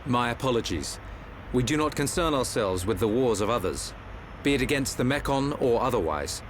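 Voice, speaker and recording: A man's voice: medium pitch, lively intonation, normal speed, lightly acted. A man playing a haughty, dignified crown prince in a video game, speaking formally and imperiously. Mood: guarded, formal. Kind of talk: video game cutscene acting. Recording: faint ambient noise.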